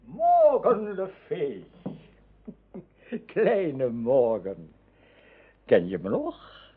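An actor playing a weary, wise old wizard mentor in a radio drama, speaking with measured, solemn counsel. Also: male voice, fairly high pitch, very animated, a little hiss or hum, heavily acted. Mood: weary, wistful. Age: older. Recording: thin, phone-like old radio play recording.

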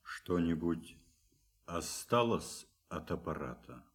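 An actor playing a sober scientist who asks probing questions in a radio play. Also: male voice, low-pitched, animated, slow, slightly rough, heavily acted.

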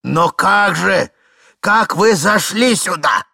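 A man voicing an indignant old gentleman husband, with sputtering, emphatic exclamations in a theatrical reading of a literary story. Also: high voice, takes it slowly, vocal fry, dry recording, studio quality, voice pushed up, exaggerated voice. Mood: outraged. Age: older.

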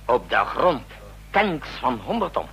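Husky, commanding president. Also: male voice, mid-range voice, big pitch swings, measured pace, bright, forceful tone, full character voice. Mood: urgent, commanding. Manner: curt, commanding, clipped orders. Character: commanding, brisk, wry president rousing his generals at night. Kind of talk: radio drama acting.

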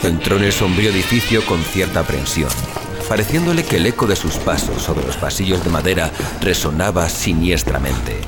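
A man's voice: deep voice, animated, normal speed. A man narrating a scripted suspense horror story as an audio fiction narrator. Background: music.

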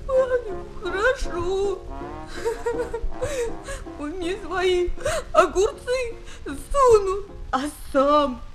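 A woman playing a naive, pleading little boy in a children's audio drama, with a piping, halting, earnest voice. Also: very high voice, lively intonation, music in the background, full character voice. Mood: tearful. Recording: noisy old 1970s flexi-disc recording.

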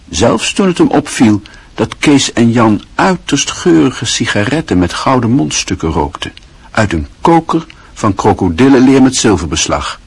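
A man narrating a radio play in a measured, literary storytelling manner. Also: fairly low pitch, slightly rough, dry recording.

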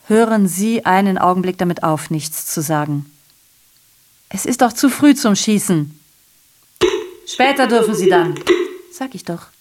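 A woman's voice: fairly low pitch, darkish tone. An actress playing a bitterly ironic, evasive woman messenger reporting horrors in a theatrical, sardonic radio drama monologue.

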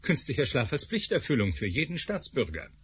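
A man's voice: mid-range voice, wildly swooping pitch, fairly quick, fairly bright tone, husky, full character voice. An actor playing a glib, sardonic male scientist in a radio drama, brusque and joking.

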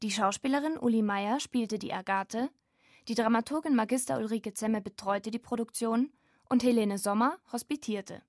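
Female narrator, fairly high voice, dry close sound, scripted documentary delivery. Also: normal speed, no room sound. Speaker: programme narrator.

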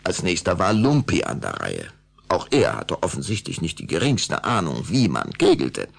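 Ein Mann mit rauer, heiserer Stimme erzählt als Erzähler eines Kinderhörspiels eine Vampirgeschichte, dramatisch und nach Skript. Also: male voice, sits low, wildly swooping pitch, moderate pace, exaggerated voice. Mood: suspenseful narration. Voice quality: gravelly, husky. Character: storyteller of a children's vampire tale. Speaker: narrator. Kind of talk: scripted dramatic narration.

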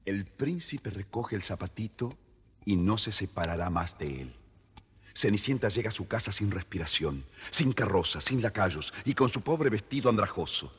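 A man's voice: on the low side, lively intonation, normal speed, breathy edge. A man narrating a scripted children's fairy tale as storyteller.

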